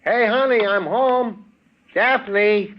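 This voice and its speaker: An actor playing a cheerful, hapless American husband in a radio comedy sketch, with a quippy, placating manner. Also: male voice, high voice, drawn-out words. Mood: wry. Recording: muffled vintage radio recording.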